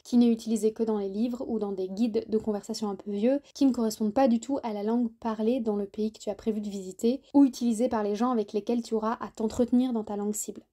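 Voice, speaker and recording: A woman with a fairly high voice and a very soft, mellow tone hosts an explanatory podcast. She talks informally and straight to the listener, in the manner of a tutor walking someone through an idea. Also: steady tone, quick pace, hardly any pauses. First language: French. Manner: didactic, conversational, addresses listener directly. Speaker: podcast host and French tutor.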